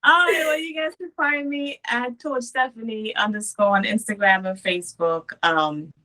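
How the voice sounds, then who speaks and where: A woman from Trinidad, chatting easily as an interview guest over a video call, chuckling often and filling pauses with ums. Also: a little high, sharp tone, poor audio. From Trinidad and Tobago. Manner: easygoing, chuckles often, many filler ums. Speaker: guest, domestic violence coach. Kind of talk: casual interview chat.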